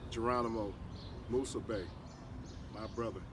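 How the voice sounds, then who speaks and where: A man's voice: lowish voice, fairly even intonation, normal speed. A man with a head cold and a slightly nasal voice hosts an interview outdoors in a city park, rambling with many fillers. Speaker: host. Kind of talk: casual interview introduction. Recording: distant mic outdoors with clear street noise.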